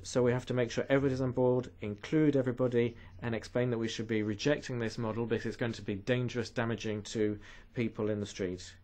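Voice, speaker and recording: Canadian guy making an urgent conference speech, mid-pitched, plain voice. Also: steady tone, fast talker, hardly any pauses, a little mellow. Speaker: conference speaker.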